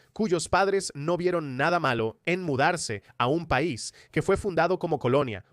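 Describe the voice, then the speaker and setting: Higher-pitched man delivering dry, close commentary. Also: no room sound. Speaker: commentator and host of opinion video. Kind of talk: scripted political commentary monologue.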